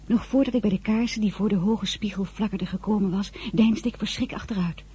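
Young woman, observant first-person narrator, radio drama, breathy, dark soft tone. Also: medium pitch, a little fast. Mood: uneasy.

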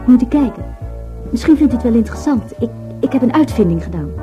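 A woman's voice: on the high side, animated, quick pace, mellow, close to an everyday voice. An actress playing an eager woman inventor in a radio drama, keenly explaining. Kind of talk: Dutch radio drama acting. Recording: dull radio recording, music behind.

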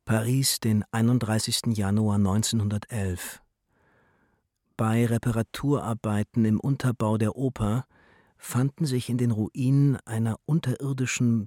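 An actor playing a sober chronicler in a radio drama, reading out a report in a measured, matter-of-fact way. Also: male voice, fairly low pitch, steady tone, warm tone, no echo, clean studio sound. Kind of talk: scripted radio drama narration.

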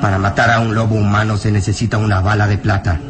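An actor playing a burly, frightened and bitter peasant villager in a melodramatic radio drama, anguished, emphatic and halting. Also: male voice, fairly low pitch, level tone, close to an everyday voice. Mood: horrified.

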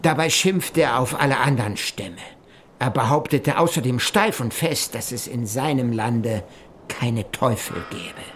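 A man giving measured, formal narration of a journal in a literary radio play. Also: mid-range voice, lively intonation, fairly bright tone, slight background noise. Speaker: narrator reading an explorer's journal.

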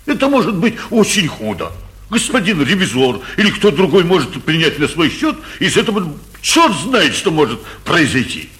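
A blustering town mayor, swooping pitch. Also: male voice, big pitch swings, normal speed, fairly bright tone. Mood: fussy, complaining. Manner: emphatic, theatrical, exasperated. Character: blustering, anxious provincial town mayor, middle-aged man. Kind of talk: 1940s theatrical radio comedy acting.

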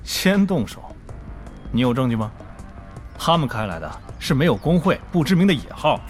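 A man's voice: mid-range voice, sing-song, moderate pace, soft background music, natural voice. An actor in a Chinese audio drama playing a level-headed, probing male guild officer. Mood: challenging.

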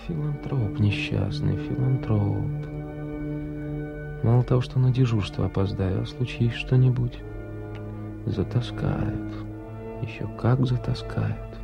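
An actor playing a kindly, wry hospital doctor in a radio drama, bantering gently, reassuring but firm. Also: male voice, mid-range voice, takes it slowly, creaky, pauses often, lo-fi sound, loud music behind the voice. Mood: grumbling. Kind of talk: 1980s Soviet radio drama acting.

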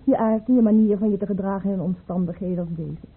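An actress playing a proud, coquettish, insistent baroness in an old-fashioned radio drama, arch and theatrical, with a husky, breathy voice. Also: female voice, mid-range voice, steady tone, fast talker. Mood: probing. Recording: muffled, phone-like old radio recording.

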